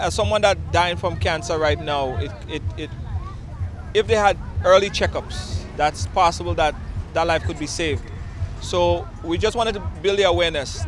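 A man's voice: a little high, a bit bright. Earnest man at a street interview. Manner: earnest, repeats words while thinking. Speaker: walk participant, team organizer. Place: outdoors on a city street. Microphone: handheld reporter mic.

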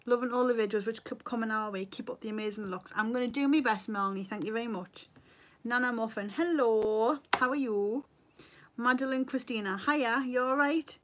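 Geordie woman in her thirties, slightly raised pitch, relaxed livestream chatter via webcam at home. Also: brisk, a little hiss or hum. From North East England. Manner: chatty, reads viewer comments aloud, laughs easily. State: a bit tired. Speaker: beauty vlogger. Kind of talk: casual livestream chat. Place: bedroom at home, indoors. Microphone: webcam at desk.

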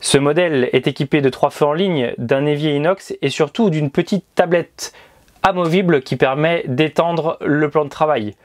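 A man's voice: a little high, moderate pace. A man in his thirties presenting a scripted motorhome review on a lapel mic, with precise, presenter-like delivery. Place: inside a motorhome, then outdoors by the roadside.

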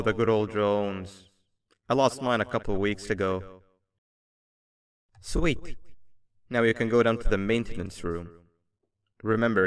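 A man voicing a scheming, falsely friendly devil mascot principal in a video game, theatrical and smooth-talking. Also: fairly low pitch. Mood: friendly, relieved.

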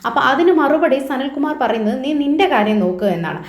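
A woman commentator speaks in a fairly high voice. She talks informally to her viewers, explaining and commenting on a news story, and the room around her is noticeably echoey. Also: lively intonation, fast talker. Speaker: YouTube commentator and host. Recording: echoey, roomy voice-over.

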